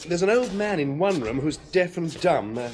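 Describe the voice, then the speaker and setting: An actor playing a dutiful police detective in a radio drama, giving his chief brisk reports. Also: on the high side, expressive, darkish tone, slight background noise, lightly acted. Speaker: police inspector.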